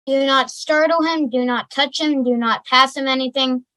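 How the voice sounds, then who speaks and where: A woman's voice: sits high, steady tone, moderate pace, pushed and bright, no room sound, poor audio. A woman playing a stern, mocking asylum orderly in an amateur table read, clipped and commanding.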